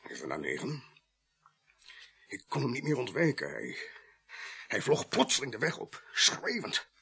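A man's voice: medium pitch, huge pitch swings, measured pace, vocal fry, sharp tone, husky, full character voice. An actor playing a tipsy, shaken well-to-do man in his fifties in a radio play, faltering and repeating himself. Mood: shaken, haunted. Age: 50s.

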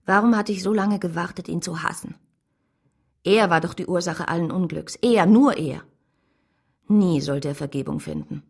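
An actress playing a principled, grieving woman who tells her story with measured restraint in a German radio drama, in a breathy voice. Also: lowish voice, darkish tone. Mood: cursing. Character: Caroline, a grieving, principled woman narrating bitterly, devoted to her brother.

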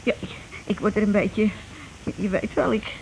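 A woman's voice: mid-range voice, moderate pace, gravelly, a little mellow, close to an everyday voice. Woman acting a nervous, stammering wife, noisy radio. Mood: frightened, jittery. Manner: hesitant, stammering, anxious. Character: nervous, timid, uneasy wife of a schemer. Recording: noisy old radio drama recording.

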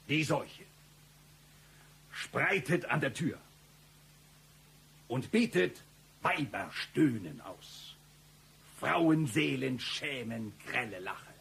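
An actor playing a boastful, menacing mercenary and former lecturer in a satirical radio play, with a pompous, theatrical delivery. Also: male voice, mid-range voice, gravelly, fairly bright tone, a little hiss or hum, full character voice. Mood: declamatory.

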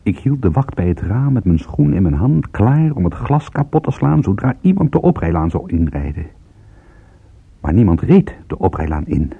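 An actor playing a wry private detective in a radio play, speaking and narrating in a dry, understated way. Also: male voice, sits low, very animated, normal speed, creaky, slightly rough, heavily acted. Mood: grave, suspenseful narrating. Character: wry male private detective, calm under mortal danger. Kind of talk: radio play acting with first-person narration. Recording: muffled, phone-like radio drama recording.

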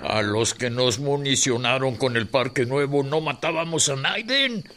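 An actor playing a plain-spoken old revolutionary soldier with rustic Mexican country diction in a radio drama. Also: male voice, mid-range voice, normal speed, a bit bright, natural voice. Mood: folksy.

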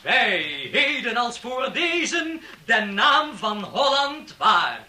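A pompous moralising poet in a radio sketch. The delivery is slow and solemnly declamatory, in a very high male voice that swoops theatrically and is quick to take offence. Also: very animated, sharp tone, full character voice. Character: pompous, touchy old-fashioned moralising poet reciting patriotic verse.

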